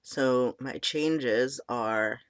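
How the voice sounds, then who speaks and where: A young man in casual chat, his voice cracking and squeaky, deep and stuffy from a cold, rambling with many ums and likes. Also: very slow, a bit bright, smooth. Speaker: vlogger. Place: small room indoors.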